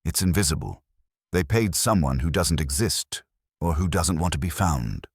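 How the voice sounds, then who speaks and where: Guy playing a wry detective's assistant in an audiobook, real low voice, lively pitch, plain-spoken. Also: normal speed, husky, no echo, clean studio sound, full character voice. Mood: earnest. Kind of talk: audiobook character dialogue.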